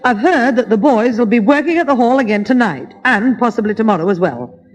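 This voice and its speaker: A woman's voice: on the high side, animated, fairly quick. A woman playing a shrewd, teasing mother with a Northern English accent in a 1960s British radio comedy. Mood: shrewd, scheming.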